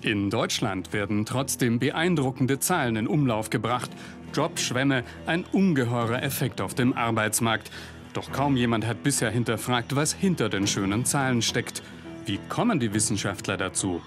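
A man's voice: medium pitch, animated, moderate pace. A man reading a scripted investigative news report as a voice-over, with pointed, ironic emphasis. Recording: narration over loud music bed.

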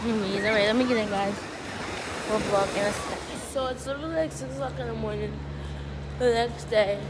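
A woman's voice: fairly high pitch, a little fast. A young woman chatting casually with a friend for a vlog, using slangy, profane, informal speech. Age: young adult. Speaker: vlogger. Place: outdoors on a beachfront and street. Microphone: handheld phone at arm's length. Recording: loud wind and outdoor street noise.

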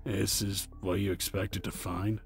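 Man acting a gruff, gravelly, very deep adventurer. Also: little pitch movement, measured pace, faint music behind. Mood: low, serious. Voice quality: gravelly, husky. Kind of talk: fantasy audio drama acting.

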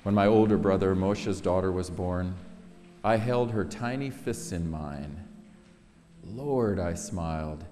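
A middle-aged man gives a solemn, dramatic poetry reading, measured and expressive, with weighty pauses. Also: sits low, faint music behind. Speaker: poet reading own work. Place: large synagogue sanctuary, at a lectern. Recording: echoey hall reverb.

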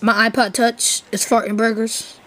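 Bossy young woman, high-pitched voice. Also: flat delivery, normal speed, a bit bright. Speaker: prank caller. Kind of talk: casual chat. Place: room at home. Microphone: handheld camera or phone. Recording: noisy handheld amateur recording with clicks.

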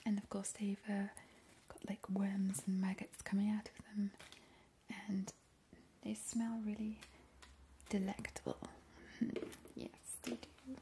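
A woman playing a playfully creepy, doting vampire in a breathy, cooing ASMR whisper. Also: mid-range voice, level tone, dark tone, a little hiss or hum. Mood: doting, macabre. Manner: slow, lingering, cooing. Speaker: ASMR performer. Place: small indoor kitchen.

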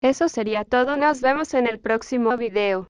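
A woman's voice: fairly high pitch, moderate pace, clear, resonant tone, no echo. A woman channel creator giving a scripted video outro.

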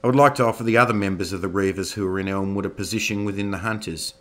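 A man's voice: fairly low pitch, very fast, fairly bright tone, close to an everyday voice. A man voicing a composed male commander arguing on the prisoners' behalf. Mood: persuasive.

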